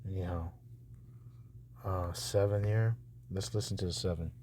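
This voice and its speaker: A man with a fairly low voice gives casual, halting commentary. He mutters half to himself as he works, and there is clear noise in the recording. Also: fairly even intonation, warm tone. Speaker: audiobook producer reviewing narrator auditions. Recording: clearly noisy background.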